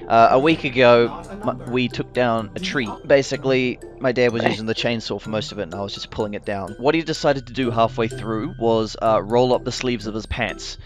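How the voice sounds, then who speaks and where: A young man chats casually as he games, telling anecdotes with plenty of swearing. His delivery is animated and he acts out his reactions, with music playing clearly behind him. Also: mid-range voice, a little fast. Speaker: gaming video host. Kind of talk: casual storytelling over gameplay.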